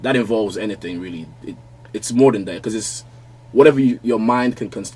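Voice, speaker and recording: Laid-back low-voiced man. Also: slightly rough. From London, born in Nigeria. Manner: relaxed, halting, many restarts, laughs easily. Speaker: guest fashion designer. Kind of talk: casual podcast chat. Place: small podcast studio with wall panels. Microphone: studio mic on arm, wearing headphones.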